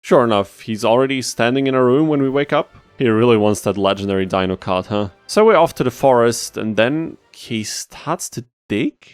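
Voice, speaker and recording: A man's voice: mid-range voice, lively intonation, moderate pace, few pauses, smooth, no room sound, faint music behind. A man narrating a gameplay challenge as a video host, casual and wry, with mock-exasperated asides. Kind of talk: scripted gameplay commentary.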